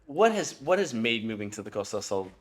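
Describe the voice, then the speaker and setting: A woman's voice: extremely low pitch, very animated, fast talker. A woman hosting a company channel interview, chatty and eager, with lots of affirming remarks. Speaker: host and interviewer.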